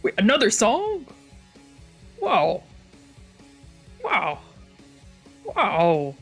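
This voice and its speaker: An excitable game streamer chatting casually in a mid-pitched voice. The talk rambles along with giggly asides, while game music plays behind it. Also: drawn-out words, gravelly, slightly rough. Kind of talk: casual let's play commentary with giggly asides.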